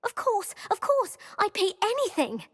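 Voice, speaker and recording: A woman voicing an eager, excitable young schoolgirl witch in a video game, gushing and emphatic. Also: extremely high pitch, moderate pace. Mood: gushing, thrilled.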